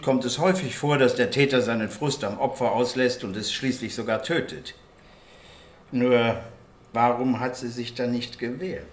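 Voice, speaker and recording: An actor playing a precise, matter-of-fact forensic doctor in a German radio crime drama, explaining clinically. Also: male voice, mid-range voice, close to an everyday voice. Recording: roomy, echoing studio scene.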